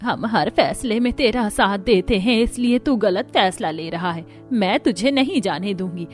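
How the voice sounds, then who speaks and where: A woman acts out a protective village mother in a cartoon drama. She is anxious and insistent, emotional in delivery, at a middle pitch with music underneath. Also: a little slow, lightly acted. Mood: pleading. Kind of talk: Hindi cartoon story dialogue.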